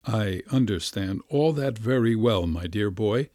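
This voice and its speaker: A polite middle-aged doctor hems and hesitates, embarrassed, as a man voices him. His voice is low and mellow, with a lively rise and fall, recorded dry. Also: measured pace, no echo. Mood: flustered. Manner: hesitant, mildly flustered.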